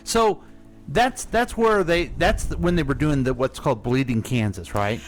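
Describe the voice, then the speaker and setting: A male radio host having a casual conversation, chatty and quick to jump in with questions. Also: mid-range voice, soft background music. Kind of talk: radio talk show conversation.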